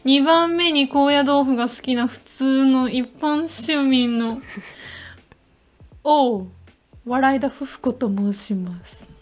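A woman acts out a genteel comic lady for a radio skit. She is cheerful and quirky, with a highish pitch and affectedly polite, announcing delivery. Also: slow, soft background music. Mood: cheerful, theatrical. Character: genteel, quirky comedic lady guest, self-styled ordinary commoner.

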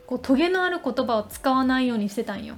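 Young female podcast co-host, higher-pitched, chatty and informal, light music bed. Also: animated, quick pace. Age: young adult. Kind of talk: casual chat.

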